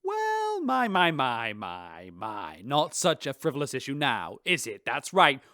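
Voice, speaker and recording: A man's voice: mid-range voice, huge pitch swings, measured pace, bright, forceful tone, no echo, clean studio sound, heavily acted. An actor playing a flustered, pompous male spaceship captain in a sci-fi radio drama, with a theatrical manner. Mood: smug, vindicated.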